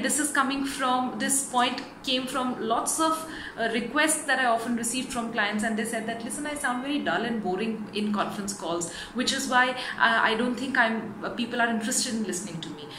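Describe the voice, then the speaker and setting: A woman giving instructional advice to viewers as a communication coach, stressing key phrases emphatically. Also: on the high side. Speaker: communication coach and video host. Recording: roomy echo, clear background noise.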